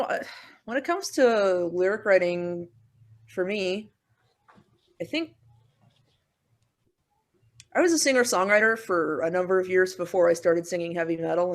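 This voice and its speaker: A woman vocalist, a guest on a podcast, talking in an animated way and restarting her sentences. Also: lowish voice, a little slow, long pauses. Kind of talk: podcast conversation.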